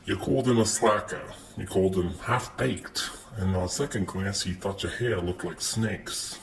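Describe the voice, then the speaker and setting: A man's voice: deep voice, moderate pace, creaky. A man playing a hardboiled noir detective, telling his case in wry, dramatic rhyme. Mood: world-weary. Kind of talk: rhyming film-noir parody narration. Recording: noisy homemade phone clips.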